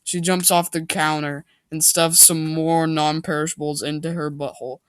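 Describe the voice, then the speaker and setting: A man's voice: fairly high pitch, flat delivery, moderate pace, a bit bright, clear, resonant tone, no room sound. A man giving a mock dramatic reading of amateur fiction, goofy and theatrical, often breaking off to comment. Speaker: reader and commentator.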